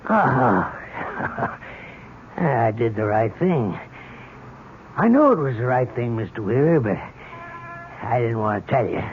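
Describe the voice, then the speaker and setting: A dull, slightly noisy old radio recording of a simple-minded male accomplice in a mystery play. He is halting and deferential, with guilt in the way he explains himself. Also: medium pitch, animated. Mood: earnest, confessing. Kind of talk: 1970s radio drama acting.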